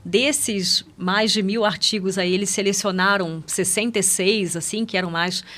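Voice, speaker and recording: A woman's voice: mid-range voice, fairly even intonation, moderate pace, a bit bright. A Brazilian woman speaking as a medical podcast guest, explaining things conversationally and often checking in with little agreement tags. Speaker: guest doctor, pediatric endocrinologist.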